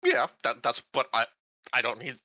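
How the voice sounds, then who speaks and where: A man playing a tabletop game, chatting casually and haltingly, often repeating his words. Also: mid-range voice, wildly swooping pitch, sharp tone, dry recording, muffled recording. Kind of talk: casual chat during a roleplaying game.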